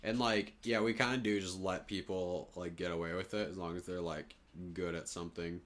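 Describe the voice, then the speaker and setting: A man's voice: low voice, steady tone, normal speed, a bit bright. A young man, chatting casually and swearing freely as a podcast co-host. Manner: informal, sweary. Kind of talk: casual chat.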